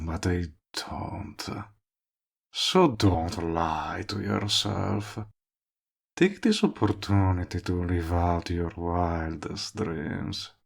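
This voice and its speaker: A low, slow-talking villain played by a male voice actor courts the listener in a romantic audio drama. He is smug and possessive, with a creaky, gravelly edge. His lines are wry and smooth-talking, with words drawn out for emphasis. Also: exaggerated voice. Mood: coaxing, persuasive. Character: smug lovestruck villain, possessive, persistent, wryly comic man. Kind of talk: romantic comedy audio roleplay addressed to listener.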